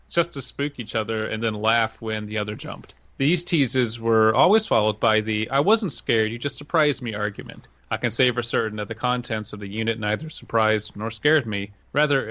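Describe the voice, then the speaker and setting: A man's voice: on the low side, bright, forceful tone, no echo. A man co-hosting a podcast, reading a story aloud in a casual style with banter mixed in. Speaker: podcast co-host.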